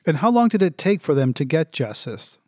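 A man's voice: fairly high pitch, sing-song, brisk, darkish tone, slightly rough, no echo, clean studio sound. A man hosting an interview program, asking questions and reading scripted links. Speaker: radio show or podcast host.

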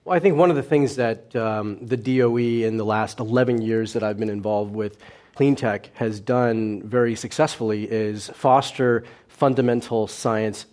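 A man, a venture capitalist guest on a panel, answering at length with frequent pauses and restarts. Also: lowish voice, a little mellow. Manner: measured, many filler pauses and restarts.

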